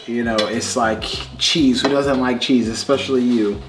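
A man's voice: mid-range voice, steady tone, normal speed, background noise. A man in his thirties co-hosting a home cooking show, talking in an upbeat, easygoing, jokey way while seasoning, on a lapel mic in a home kitchen. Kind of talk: casual cooking show chat.

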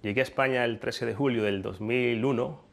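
A man's voice: mid-range voice, fairly even intonation, moderate pace, creaky. A man, giving an earnest, faith-filled interview testimony. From Colombia. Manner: earnest, faith-laden, with restarts. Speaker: business owner interviewee. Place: indoor room before a world map.

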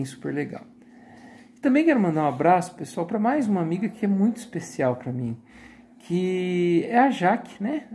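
A man in his forties with a Brazilian accent, sitting on a sofa and talking warmly and supportively into his phone in a casual live stream. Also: fairly high pitch, gravelly, a little hiss or hum. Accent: Brazilian Portuguese. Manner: warm supportive, many filler tags. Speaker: YouTube channel host and moderator. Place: living room sofa, indoors. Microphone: phone camera held close.

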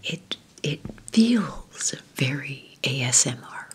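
A woman whispering gently through an ASMR question-and-answer video, talking fondly and slowly with soft fillers. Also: a bass voice, animated, breathy edge. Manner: slow, gentle, affectionate rambling with fillers. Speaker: ASMR creator.

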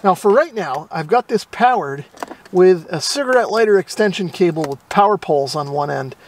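A man's voice: high voice, animated, moderate pace, a little hiss or hum. A middle-aged American man hosting a hobby how-to, talking in an easygoing, self-deprecating, casual way. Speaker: host of a radio hobby channel.

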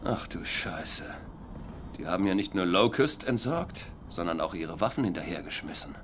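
A man's voice: low voice, expressive, normal speed, fairly bright tone, a little hiss or hum. An actor playing a young, commanding soldier who leads his squad with urgency in a video game. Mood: wary. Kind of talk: video game voice acting, German dub.

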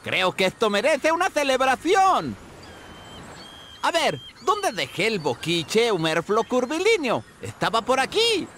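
Excitable, wildly swooping cartoon seagull. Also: male voice, very high voice, a little slow, slight background noise, full character voice. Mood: cheerful, excited. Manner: rambling, exclamatory, folksy. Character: scatterbrained, friendly, excitable male seagull. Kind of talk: animated video game voice acting.

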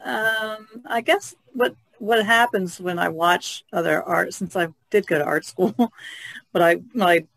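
A woman's voice: mid-range voice, unhurried, creaky, fairly bright tone, poor audio. An American woman co-hosting a podcast, introducing herself and chatting casually. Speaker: podcast co-host and painter.

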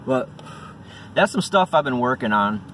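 A man's voice: medium pitch, big pitch swings, moderate pace, fairly bright tone. A middle-aged man talking casually and bluntly as an amateur comedian, with profane asides. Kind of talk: casual vlog with stand-up bits. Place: inside a parked vehicle. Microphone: phone or camera at arm's length. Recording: in-car, distant mic, faint noise.

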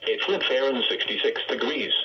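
A man's voice: mid-range voice, animated, normal speed, distant mic, dull audio. A synthetic man's voice reading a scripted weather bulletin, played through a weather radio speaker. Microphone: phone camera picking up a radio speaker. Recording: radio speaker picked up from afar, faint noise.

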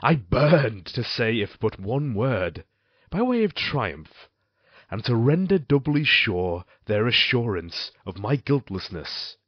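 An actor playing a guilt-ridden husband and murderer in a gothic audio drama, speaking formally and theatrically with an outward show of smug composure. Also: male voice, mid-range voice, sing-song, dry recording, highs cut off. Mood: smug, gloating. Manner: measured, theatrical, formal old-fashioned diction.

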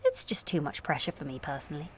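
A woman in her late twenties performing a confessional comic monologue in a candid, wry, self-questioning way, moving between confiding and animated. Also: low-pitched, quick pace, slight background noise. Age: late 20s. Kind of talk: confessional comic audio monologue, present-tense narration.

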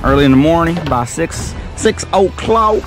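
Excitable young man fishing from a kayak. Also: fairly high pitch, normal speed, over music. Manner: excitable, hollers when a fish bites. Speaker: fishing vlogger. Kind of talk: casual on-the-water commentary. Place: kayak on an open lake outdoors. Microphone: action camera on the kayak.

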